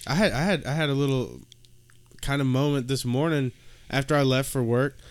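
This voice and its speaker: A man's voice: mid-range voice, fairly quick, clean tone. A man in his early twenties with an American accent, chatting casually and informally as a podcast host, often repeating himself. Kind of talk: casual chat.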